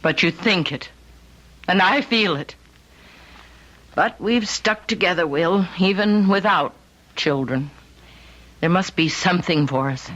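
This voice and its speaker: An actress playing a gentle, lonely older housewife in an old-time radio drama. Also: female voice, lowish voice, unhurried, long pauses. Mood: resigned. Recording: muffled old radio recording with music behind.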